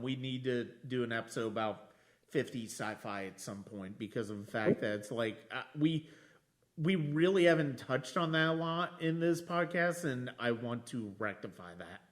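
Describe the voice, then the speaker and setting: A man hosting a casual film discussion, thinking aloud in a halting way and repeating phrases. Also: medium pitch, expressive, fairly bright tone. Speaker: podcast host.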